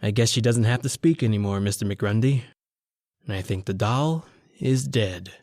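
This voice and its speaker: A man's voice: fairly low pitch, moderate pace, a little mellow, no room sound, clean studio sound, close to an everyday voice. An actor playing a frightened, wrought-up man with a gun in an old-time radio thriller, speaking in a melodramatic way. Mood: subdued. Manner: overwrought, melodramatic. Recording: music bed behind the voice.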